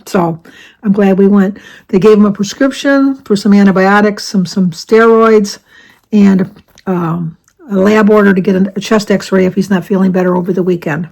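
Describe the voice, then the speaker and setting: A woman in her seventies from Michigan, chatting casually to her viewers with a slightly raspy voice and rambling off topic. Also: mid-range voice, steady tone, moderate pace, darkish tone, low-quality recording. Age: 70s. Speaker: vlogger. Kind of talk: casual chat to camera.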